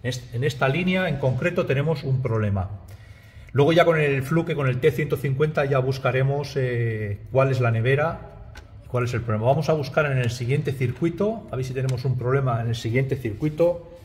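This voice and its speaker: Electrician talking while working. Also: male voice, medium pitch, moderate pace, darkish tone. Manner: talks to viewers as "chicos", repeats words, frequent "¿vale?". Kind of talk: casual on-the-job explainer. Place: small indoor restaurant back room. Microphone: body-worn camera, first-person view.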